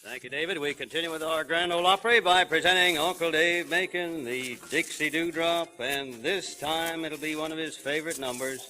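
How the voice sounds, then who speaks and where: Bright-voiced man hosting old-time radio. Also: fairly high pitch, moderate pace, hardly any pauses. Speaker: radio show host. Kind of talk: 1940s live radio announcing. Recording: vintage live radio broadcast.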